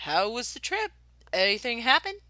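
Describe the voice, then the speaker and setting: A woman's voice: on the high side, very animated, normal speed, bright, forceful tone, no room sound, clean studio sound. A woman voicing a jovial, slightly smug frontier husband from a children's storybook, with a hearty, teasing manner. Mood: cheerful, curious.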